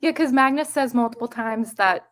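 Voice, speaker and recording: A woman's voice: fairly high pitch, normal speed, a bit bright. A woman chatting casually and animatedly as co-host of a book discussion, breaking easily into giggles. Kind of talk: casual chat.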